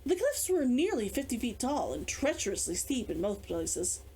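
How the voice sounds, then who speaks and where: A woman reading a fantasy novel aloud as its author, with expressive storytelling. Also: on the high side, normal speed, slight background noise. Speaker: author reading own book.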